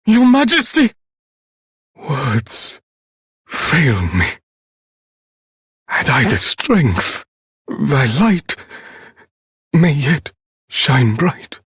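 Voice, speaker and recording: A man playing a loyal, solemn royal retainer in a fantasy video game, halting, reverent and archaic, in an echoing hall. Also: on the high side, huge pitch swings, fairly bright tone, exaggerated voice. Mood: grief-stricken.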